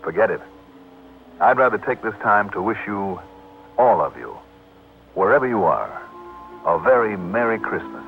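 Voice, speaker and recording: An actor playing a brisk, sharp, self-assured American insurance investigator in vintage radio drama style. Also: male voice, fairly low pitch, sing-song, soft background music. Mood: warm, signing off. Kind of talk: 1960s American radio drama acting and first-person narration. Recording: tinny, noisy old radio broadcast.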